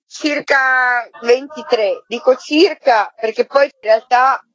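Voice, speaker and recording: A woman's voice: high voice, slow, a bit bright, slight background noise. Reflective woman on a muffled line. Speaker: interviewed artistic coordinator of a theatre artists' project. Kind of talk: spontaneous radio interview.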